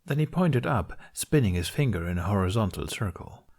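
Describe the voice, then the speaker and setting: A man's voice: lowish voice, animated, moderate pace, a little mellow. A man reading a fantasy story aloud as a scripted audiobook-style narration. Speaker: podfic narrator reading fan fiction.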